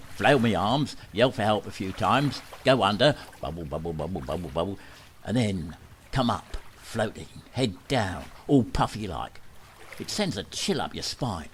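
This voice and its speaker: A sly street hustler with a showman's patter, played by a man, his voice rising and falling in big swoops as he wheedles. It is an amateur radio play, and a faint hiss of noise runs under it. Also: fairly low pitch. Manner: wheedling, theatrical salesman patter. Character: sly male street showman hawking a stunt.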